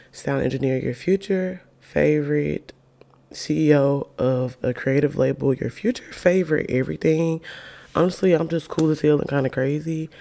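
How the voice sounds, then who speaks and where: A woman hosting her own podcast in a casual solo chat, her naturally deep voice made even deeper by covid, talking in a chatty, playful, slangy, self-hyping way. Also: gravelly. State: sick with covid, voice extra deep. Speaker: podcast host.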